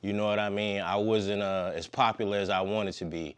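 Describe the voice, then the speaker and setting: Deep-voiced laid-back man in his fifties. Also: fairly even intonation, fairly quick, smooth. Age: 50s. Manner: laid-back, slangy, long-winded. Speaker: podcast guest. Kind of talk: casual conversation.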